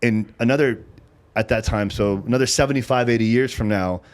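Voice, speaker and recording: Fast-talking blunt man in his thirties. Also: mid-range voice. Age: 30s. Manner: fast, blunt, swears freely. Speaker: podcast host. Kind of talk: casual podcast conversation.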